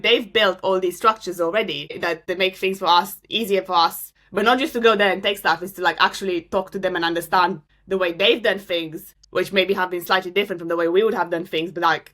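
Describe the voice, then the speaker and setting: A woman's voice: lowish voice, steady tone, a little fast, few pauses, fairly bright tone. A young woman from Romania, an astrophysicist, answering interview questions casually with many fillers and restarts. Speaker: astrophysicist guest.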